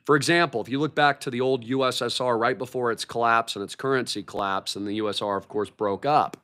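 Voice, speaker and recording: Hoarse hyped-up livestream host man. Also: mid-range voice, steady tone, fast talker, a bit bright. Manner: hyped, repeats words like massive and shocking. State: hoarse, recovering from a cold. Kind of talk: excited livestream market commentary.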